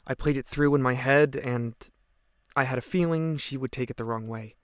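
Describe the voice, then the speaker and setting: A man's voice: mid-range voice, moderate pace, clean tone, no room sound, close to an everyday voice. Man playing teenage boy, guilt-ridden, earnest, hesitant, reflective, audio drama testimony, dry close sound. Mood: regretful. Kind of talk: audio drama monologue, police interview testimony.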